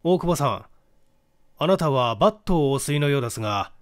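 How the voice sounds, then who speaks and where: A man voicing a courteous, methodical police detective in a mystery audiobook, speaking politely in measured explanations. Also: fairly high pitch, lively intonation, dry recording, studio quality, natural voice. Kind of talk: dramatic audiobook reading of a mystery.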